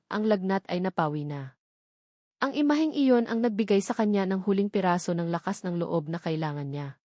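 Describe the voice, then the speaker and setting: A woman's voice: mid-range voice, a little mellow, dry recording, clean studio sound. A woman with a Filipino accent reading a scripted, dramatic love-story narration.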